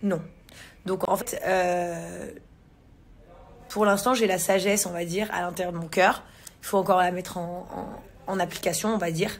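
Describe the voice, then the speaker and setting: Chatty husky woman vlogging to her phone. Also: fairly low pitch, fairly even intonation, normal speed, pauses often. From England. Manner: chatty, many fillers and restarts, youthful slang. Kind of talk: casual chat to followers. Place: large bright living room indoors. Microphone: phone camera at arm's length.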